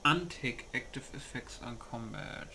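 A man's voice: mid-range voice, expressive, unhurried, vocal fry, bright, forceful tone, a little hiss or hum, poor audio. A man giving casual gameplay commentary, muttering as he reads menu options aloud. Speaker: gamer recording a playthrough. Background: music.

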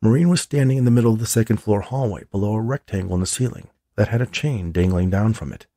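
A man narrates an audiobook in a lowish, gravelly voice. His delivery is expressive and the sound is close and dry. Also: quick pace, no room sound. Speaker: author reading own novel.

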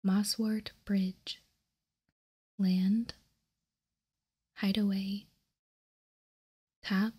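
A woman whispering softly for ASMR, reading aloud in deliberate, measured short phrases close to the microphone. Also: medium pitch. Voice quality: soft, breathy whisper. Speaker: ASMR video host reading trading cards. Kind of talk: whispered ASMR, slow card read-out.

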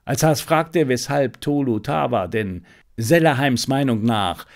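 Man, audiobook narrator, medium pitch, highly varied intonation, scripted detective story. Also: lively intonation, measured pace, creaky.

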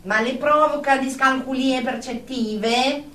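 An actress playing a brisk, clinical psychiatrist who speaks formally in pseudo-medical jargon, in a radio drama. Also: female voice, high voice, pushed and bright, full character voice. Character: brisk, clinical female psychiatrist leading group therapy. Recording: roomy, distant mic with faint noise.

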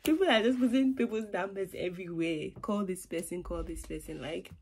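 Husky-voiced woman vlogging. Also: lowish voice, a little fast, a little mellow. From Ghana. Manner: chatty, drops to a whisper at times, laughs between points. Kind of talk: casual vlog to camera. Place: small bedroom, indoors. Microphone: phone camera on a table.

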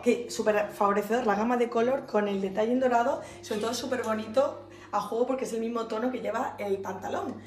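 Hoarse chatty woman in echoey shop. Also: fairly high pitch. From Spain. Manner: chatty and enthusiastic, talks straight to viewers. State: hoarse, losing her voice. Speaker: clothing shop owner presenting new stock. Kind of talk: casual fashion try-on haul. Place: bright indoor shop space by a staircase. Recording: echoey room.

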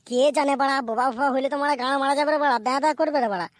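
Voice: sits high, fairly even intonation, racing, lightly acted. A performer voicing a cheeky, meddling, foul-mouthed wedding companion in a cartoon, thin-voiced. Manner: meddling, teasing, crude. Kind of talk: amateur comedy cartoon voice acting.

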